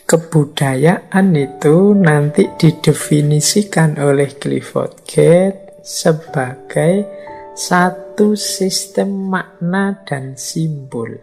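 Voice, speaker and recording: Hoarse, gravelly male lecturer. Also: a little high, very slow, a little mellow, faint music behind. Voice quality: slightly hoarse. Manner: conversational, acts out everyday remarks. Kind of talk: philosophy lecture in a mosque.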